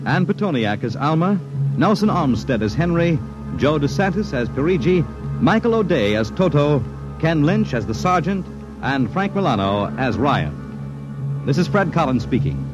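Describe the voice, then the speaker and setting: A man's voice: fairly high pitch, sing-song, normal speed. A man reading scripted credits as an announcer in a 1950s radio broadcast style. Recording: dull vintage radio, loud music underneath.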